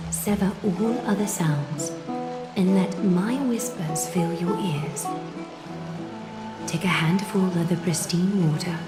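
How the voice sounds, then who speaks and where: A woman voicing a regal, serene female leader in a video game, speaking formally with measured, commanding poise and a quiet menace. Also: fairly low pitch. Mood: soothing, guiding. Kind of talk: scripted video game voice acting. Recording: echoey with loud music behind. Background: loud music.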